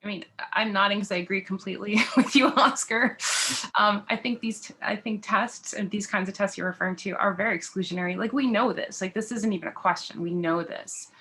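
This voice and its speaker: A woman's voice: medium pitch, brisk, pushed and bright. A woman facilitating a workshop discussion, emphatic and agreeing, repeating her points, over a video call.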